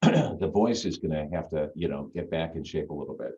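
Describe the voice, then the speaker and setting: A man with a deep, full radio voice, chatting as a guest on a video call in an easygoing, rambling way. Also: expressive, brisk, crowd noise. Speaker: guest magician. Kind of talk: casual chat on a video call. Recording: dull lo-fi video-call audio.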